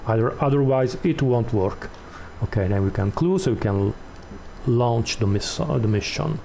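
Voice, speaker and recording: A man hosting a step-by-step tutorial, explaining off the cuff in a halting way and repeating words as he goes. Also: mid-range voice, creaky, warm tone, slight background noise. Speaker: tutorial host.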